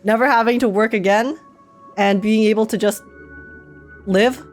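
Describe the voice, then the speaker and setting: A woman's voice: mid-range voice, fairly even intonation, normal speed, soft background music. A woman giving casual, slangy, joking reaction commentary as a game streamer. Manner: slangy, joking, frequently chuckling.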